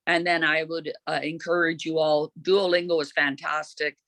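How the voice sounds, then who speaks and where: A volunteer host speaks informally at a community meeting, at a middling pitch. The talk is halting, with frequent pauses to search for words. The sound is dry, with no room echo. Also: level tone, bright, forceful tone.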